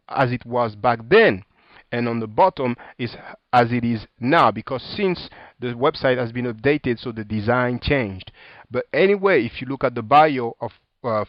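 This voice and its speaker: A man with a French accent delivers a sarcastic, combative commentary full of street slang, reading passages aloud and jabbing at critics. His voice sits at an ordinary middle pitch. The recording is dry, close and a little dull. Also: no echo. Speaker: video commentator. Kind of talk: casual commentary reading from a book and website.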